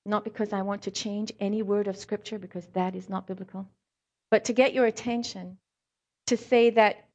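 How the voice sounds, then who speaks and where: A woman's voice: mid-range voice, fairly even intonation, moderate pace, a little mellow. Woman preaching with light Scottish-South African accent. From born in Scotland, raised in South Africa. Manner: informal, frequent ums, wry humor. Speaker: lay preacher, hand therapist. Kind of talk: conversational sermon with anecdotes.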